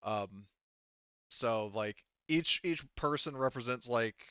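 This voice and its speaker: A man's voice: medium pitch, slow pace, sharp tone, smooth, no echo, lo-fi sound. A man casually running a tabletop roleplaying game as game master, improvising as he goes.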